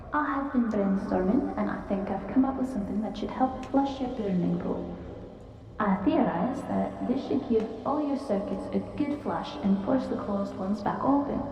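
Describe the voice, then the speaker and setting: A woman's voice: mid-range voice, expressive, normal speed, mellow, soft background music, lightly acted. A woman playing a teasing potion-brewing mentor. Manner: teasing, fussy, theatrical. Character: eccentric female potion brewer and magic mentor. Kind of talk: audio drama acting. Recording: roomy distant dull-toned sound.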